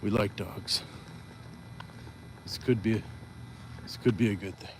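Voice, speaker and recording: A man's voice: lowish voice, steady tone, slow pace, slight background noise. A man hosting a live stream while walking outdoors, chatting casually and reading viewer comments aloud with playful asides. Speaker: livestream host.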